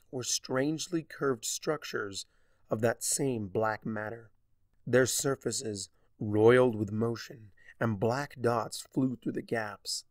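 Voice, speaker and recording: A man narrating a story from a script, with deliberate, theatrical phrasing. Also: mid-range voice, no room sound, clean studio sound.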